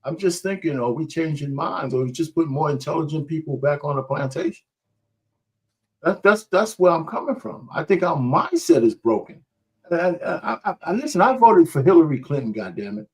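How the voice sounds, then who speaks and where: A man on a podcast panel argues his side with lively pitch swings that rise and fall a lot. He sits a little above the usual male range and keeps pressing his point, often repeating himself. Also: moderate pace, husky. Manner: emphatic, argumentative, repeats himself. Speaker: panel guest. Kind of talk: heated debate.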